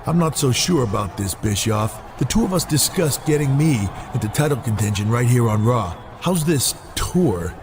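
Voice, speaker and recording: Man acting self-assured wrestler, gravelly fry, defiant, blunt, video game dialogue, noisy background. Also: mid-range voice, expressive, normal speed, few pauses, lightly acted. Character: defiant, cocky male pro wrestler hungry for titles.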